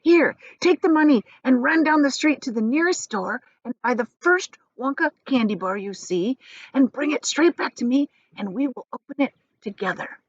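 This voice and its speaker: A woman voicing an excitable, conspiratorial old grandfather in a storybook, with breathy whispering and eager, nervous urging. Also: high-pitched, moderate pace, no echo, dull audio, pitched above the performer's own voice. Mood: excited, urging.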